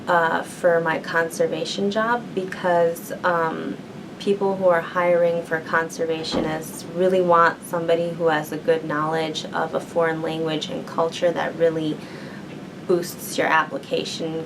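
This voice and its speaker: A young woman student speaks in a fairly low voice, thinking aloud as she answers questions and pausing on fillers. A steady hum and rumble sit under the recording. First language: English. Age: young adult. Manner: thoughtful, many ums and likes. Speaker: university student. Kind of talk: interview answers.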